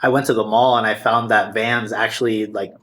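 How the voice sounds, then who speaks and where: A man's voice: fairly low pitch, level tone, brisk, bright, forceful tone. A man in his twenties speaking as a podcast guest, explaining things in an informal, reflective way. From Filipino American. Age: 20s. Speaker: podcast guest, streetwear brand founder. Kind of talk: casual interview, telling his story.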